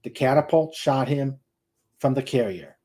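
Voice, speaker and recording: Guy reading a sci-fi novel out loud, mellow voice, careful, kinda dramatic. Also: mid-range voice, animated, measured pace. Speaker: host reading a novel aloud. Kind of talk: dramatic audiobook-style narration.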